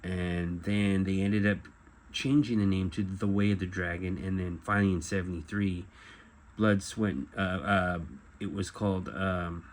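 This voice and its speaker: A middle-aged man giving a casual show-and-tell as a collector, talking in a halting, rambling way and often correcting himself. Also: sits low, fairly even intonation. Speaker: collector presenting memorabilia. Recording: clear background noise.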